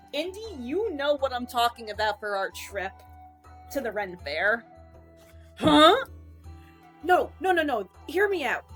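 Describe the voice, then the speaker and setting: A woman's voice: a little high, a bit bright. A woman streamer in casual rambling chat, jokey and self-mocking.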